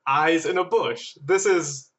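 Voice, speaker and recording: A man chatting and telling stories casually as a podcast guest, animated, joking and self-deprecating. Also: on the high side, slow pace. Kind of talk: casual chat and storytelling.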